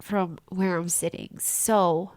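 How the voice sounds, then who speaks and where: A woman's voice: lowish voice, fairly even intonation, slow pace, a little mellow. A young woman with a congested voice, rambling through a casual diary-style podcast from a small room at home. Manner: rambling, chatty, self-interrupting. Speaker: podcast host.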